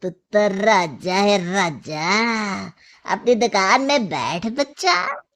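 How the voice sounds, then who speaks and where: A woman's voice: medium pitch, animated, fairly bright tone, full character voice. A woman voicing a coarse, middle-aged drunkard shopkeeper father, mocking and scornful, in a storybook tale. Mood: mocking, coaxing. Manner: slow, drawling, mocking.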